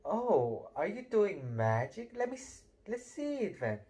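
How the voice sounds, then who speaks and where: A bossy know-it-all schoolgirl who talks over everyone in a raised, lively voice, as a man puts her on. She chatters in a rush without pausing. It sounds like a storybook character read aloud. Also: sits high, slightly rough, pitched above the performer's own voice, heavily acted.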